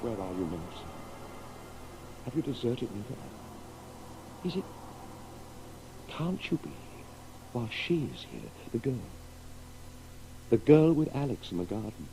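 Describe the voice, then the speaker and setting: An actor playing a tormented, guilt-ridden father in a 1980s radio drama, halting and repeating himself as he broods. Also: mid-range voice, very animated. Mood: forlorn. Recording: noisy old radio broadcast with hum.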